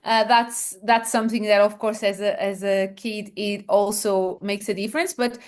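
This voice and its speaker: A woman from Portugal with a Portuguese accent, answering interview questions as a founder with many pauses, fillers and restarts. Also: mid-range voice, fairly even intonation. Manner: hesitant, many fillers and restarts, laughs softly. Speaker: founder guest.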